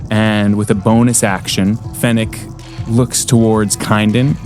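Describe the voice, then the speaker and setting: A man playing a tabletop roleplaying game, describing what his character does. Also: on the low side. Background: background music.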